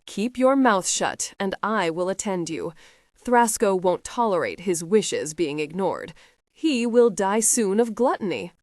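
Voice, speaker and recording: Audiobook: female narrator as a gruff male slave, stern and measured, dry close mic. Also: medium pitch, animated, darkish tone, dry recording, close to an everyday voice. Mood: firm.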